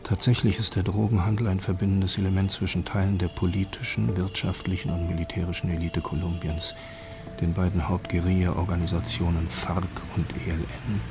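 A man acting as a sober narrator, delivering scripted factual radio narration in a measured, formal manner. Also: deep voice, flat delivery, music in the background. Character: sober male documentary narrator.

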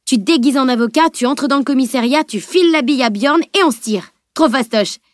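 A woman voicing an impulsive, cheeky teenage boy, excitable and quick to justify himself, in an audiobook. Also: on the high side, dry recording, studio quality, close to an everyday voice. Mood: excited, cocky. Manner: excitable, cocky, self-justifying. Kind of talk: dramatised audiobook dialogue.

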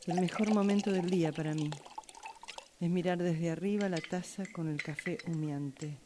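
A woman's voice: on the low side, fairly even intonation, moderate pace, background noise. A woman reading a scripted dramatic monologue as a radio drama workshop student.

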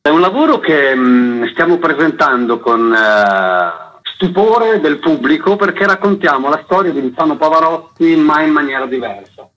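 A male actor giving a conversational radio interview, explaining things emphatically in a husky voice. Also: fairly high pitch, expressive, moderate pace, highs cut off.

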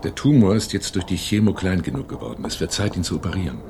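A man's voice: sits low, lively intonation, quick pace, background noise. A man playing a serious, careful hospital doctor in a radio drama. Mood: grave, careful. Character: grave, hesitant male hospital doctor.

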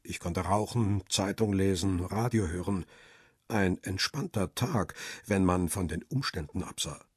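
Weary paparazzo narrating a crime radio play, very raspy low voice, close and dry. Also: male voice, unhurried, dry recording, heavily acted. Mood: reflective. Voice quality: husky, rough. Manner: reflective, terse first-person narration. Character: wary, world-weary male paparazzo telling his own story.

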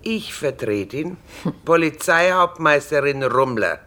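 An actress in a radio drama playing a blunt, down-to-earth police sergeant. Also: female voice, deep voice, lively intonation, measured pace, a bit bright. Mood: dry, businesslike. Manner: blunt, colloquial. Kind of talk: German radio drama acting.

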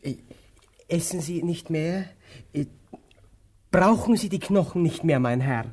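Timid tramp, slightly high-voiced. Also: male voice, unhurried, a little mellow. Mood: timidly polite. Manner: hesitant, apologetic to his betters. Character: timid, weary, hungry male tramp, deferential yet impulsive. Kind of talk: radio play acting.

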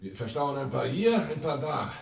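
An actor in a German radio drama playing a cool-headed, pragmatic leader of a crime crew, terse, matter-of-fact and commanding. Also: male voice, medium pitch, big pitch swings, normal speed, gravelly, reverberant, distant mic, very noisy. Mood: businesslike, grim.

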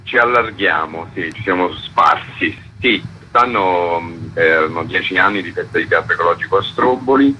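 A man's voice: deep voice, normal speed, few pauses, bright, forceful tone, dull audio, slight background noise. A man speaking conversationally as a festival director interviewed on radio.

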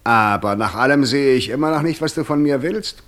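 A man's voice: mid-range voice, brisk, a bit bright, close to an everyday voice. A man acting the Devil in a German radio play, proud, sardonic and eloquent, with grand, ironic delivery. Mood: haughty, sardonic. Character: the Devil, proud, sardonic, worldly-wise old tempter.

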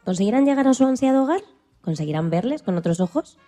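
A woman's voice: a little high, expressive, fast, warm tone, soft background music. A woman co-presenting a radio programme from a script.

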